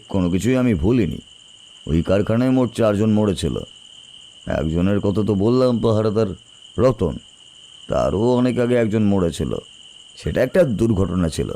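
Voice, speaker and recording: Man acting a low, mellow, ominous village storyteller. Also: slight background noise. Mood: ominous, knowing. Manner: colloquial, deliberate storytelling. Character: rural man darkly recounting local factory deaths. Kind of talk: horror audio drama storytelling.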